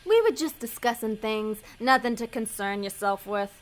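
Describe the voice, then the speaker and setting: An actress plays a bossy female schemer from Hell in an audio comedy. Her voice sits a bit high, and she is brisk and persuasive, with an exasperated edge. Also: expressive, natural voice. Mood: coaxing, firm. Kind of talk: comedic audio drama acting.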